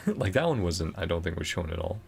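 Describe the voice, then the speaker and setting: Rambling, low-key man gaming, slightly creaky. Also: big pitch swings, a little fast. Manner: rambling, easygoing, thinking aloud. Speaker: gaming video host. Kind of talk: casual gameplay reaction commentary.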